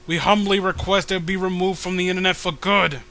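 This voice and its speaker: A man's voice: high-pitched, flat delivery, a little fast, pushed and bright. A man voicing a pompous, indignant patriotic comic hero, delivering stumbling, grand oratory. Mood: formal, pleading.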